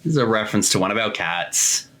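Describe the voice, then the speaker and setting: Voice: mid-range voice, expressive, quick pace, a bit bright. A voice actor giving casual, playful creator commentary, chatting and quoting lines.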